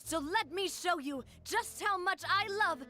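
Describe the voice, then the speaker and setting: A woman's voice: very high-pitched, measured pace, bright, forceful tone, full character voice. An actress playing a determined, loving young woman who leads her group, speaking earnestly in a video game. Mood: resolute. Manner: earnest, resolute.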